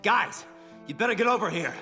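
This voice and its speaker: An actor playing an anxious, brash young rookie soldier in a video game drama. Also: male voice, high-pitched, animated, fairly quick, bright, forceful tone, heavily acted. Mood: worried. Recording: long reverb with faint music.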